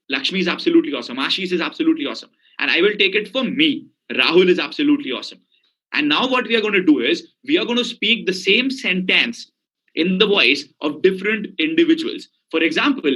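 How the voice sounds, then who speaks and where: A man's voice: a little high, pushed and bright, rough recording. A man with an Indian English accent giving a motivational lecture as a communication coach, emphatic and speaking straight to his listeners with frequent questions.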